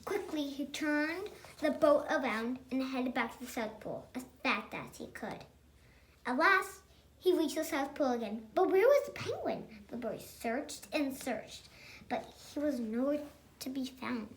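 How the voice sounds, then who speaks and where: High, lively young storybook reader. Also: measured pace. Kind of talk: reading a picture book aloud.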